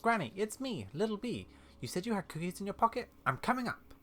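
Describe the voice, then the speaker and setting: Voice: mid-range voice, very animated, fast talker, fairly bright tone, pitched above the performer's own voice. A performer voicing an eager little girl with a bouncy, blunt, childlike manner. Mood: excited. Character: eager, high-voiced little girl looking for granny.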